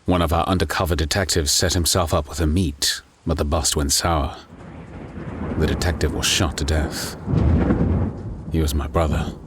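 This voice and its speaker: A man playing a determined undercover detective out for vengeance in an audio drama, husky-voiced, terse and dry. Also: rumbling low, flat delivery, moderate pace, background noise. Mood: grim, determined.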